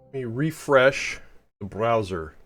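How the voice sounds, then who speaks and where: A man's voice: mid-range voice, lively intonation, slow pace, fairly bright tone, faint music behind. A man with a head cold giving rambling, casual commentary while live-streaming a space game, often correcting himself.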